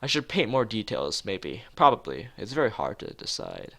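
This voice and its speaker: A man's voice: fairly low pitch, sing-song, normal speed, vocal fry, fairly bright tone, full character voice. A man voicing a detached teenage girl artist in a visual novel, vague, literal and noncommittal. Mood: listless, vague. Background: guitar music.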